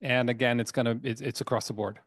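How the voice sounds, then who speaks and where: A man speaking as a company chairman on an earnings call, explaining emphatically in a halting way, often repeating words and restarting. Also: medium pitch, steady tone, fairly bright tone, no echo, clean studio sound. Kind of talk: earnings call presentation, semi-scripted business talk.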